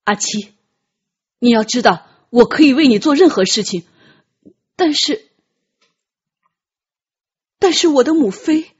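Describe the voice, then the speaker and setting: A woman's voice: high-pitched, animated, measured pace, pauses often, breathy edge, muffled recording, pitched above the performer's own voice, exaggerated voice. A woman voicing a lovelorn, protective young prince in an audiobook, speaking earnestly with pained, bitter gravity. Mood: pained, pleading.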